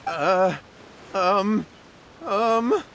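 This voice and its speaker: A man's voice: high voice, lively intonation, extremely slow, gravelly, a bit bright, slight background noise, heavily acted. An actor playing a nervous, evasive young man in a video game, flustered and prone to stammering. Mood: panicked, flustered.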